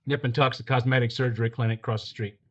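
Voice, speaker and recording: An actor playing a terse police detective who narrates the case in a clipped, matter-of-fact way. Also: male voice, medium pitch, fairly even intonation, quick pace, a bit bright, muffled recording, lightly acted. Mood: deadpan.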